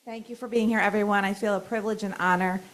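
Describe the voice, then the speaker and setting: A woman school administrator at a board meeting, speaking in a loud voice and reading prepared remarks in a friendly way. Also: medium pitch, steady tone, fast talker, low-quality recording.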